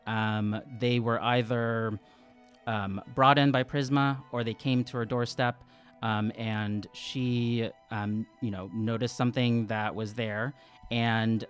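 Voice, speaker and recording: A man improvising his character's story as a player in a tabletop game, hesitant and halting as he thinks aloud. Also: lowish voice. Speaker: tabletop role-playing player.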